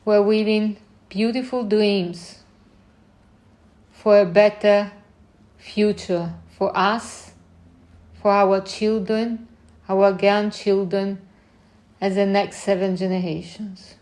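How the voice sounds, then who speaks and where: A woman leading a guided meditation in gentle, unhurried short phrases with long pauses between them. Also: medium pitch, fairly even intonation.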